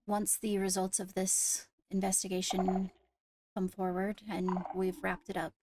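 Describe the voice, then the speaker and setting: A woman playing a poised royal ruler in an improvised game roleplay, speaking formally and carefully with pauses to find words. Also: mid-range voice, completely flat delivery, background noise, lightly acted. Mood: grave, measured. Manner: measured, formal, hesitant between phrases. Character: poised female royal ruler addressing her court. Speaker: leader of the meeting.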